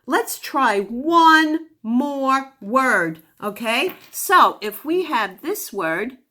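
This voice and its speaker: A woman teaching a phonics lesson to young children, giving deliberate instructions and sounding out words. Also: high voice, very animated, sharp tone.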